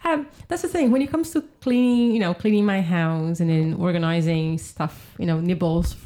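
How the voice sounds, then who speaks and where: A woman from Brazil, a podcast guest chatting casually, laughing often and filling pauses with "um". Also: on the low side, mellow. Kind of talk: casual chat. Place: home in London.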